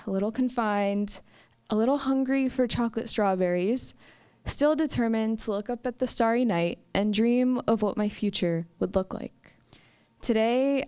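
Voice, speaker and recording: Young woman storyteller, clear smooth voice, mid pitch, measured live delivery. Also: a little mellow. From United States. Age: young adult. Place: stage at a campus story event.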